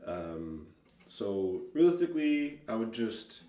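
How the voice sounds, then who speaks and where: A man's voice: on the low side, big pitch swings, takes it slowly, dark tone. A man talks casually and off the cuff as a songwriter, rambling hesitantly and self-deprecatingly. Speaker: amateur singer-songwriter. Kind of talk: casual unscripted music vlog. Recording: roomy, distant mic, faint guitar.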